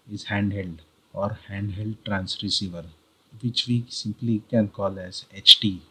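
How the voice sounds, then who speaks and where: A male tutorial host with an Indian accent and a lowish voice. His tone is forceful and bright, with a husky, rough edge. He explains things deliberately, one short phrase at a time. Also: poor audio. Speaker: host of a how-to video channel.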